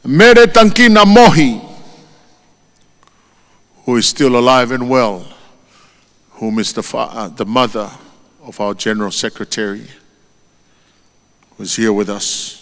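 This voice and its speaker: Tongan-accented male eulogist, solemn and deliberate, reverberant church hall. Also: medium pitch, a bit bright. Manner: solemn and measured, lifting his voice on each name. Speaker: eulogist at a funeral. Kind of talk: formal funeral eulogy.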